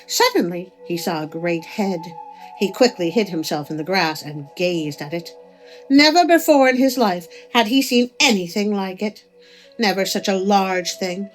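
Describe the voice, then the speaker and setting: A woman narrating a folk tale as a storyteller, with expressive delivery. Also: medium pitch, moderate pace, rough recording. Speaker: storyteller, host of a story channel. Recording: music under the voice.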